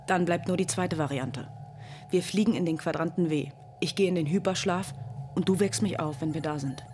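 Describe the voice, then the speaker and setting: A woman's voice: lowish voice, normal speed, a little mellow, faint music behind, close to an everyday voice. An actress playing a lone female astronaut who whispers to herself as she pulls herself together. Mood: determined.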